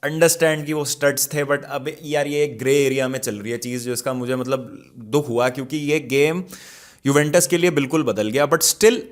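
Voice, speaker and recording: A man's voice: medium pitch, fairly even intonation, normal speed. A man with an Indian accent talks through football matches as a show host, conversational and opinionated, with emphatic asides. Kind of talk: casual football match analysis, mixing Hindi and English.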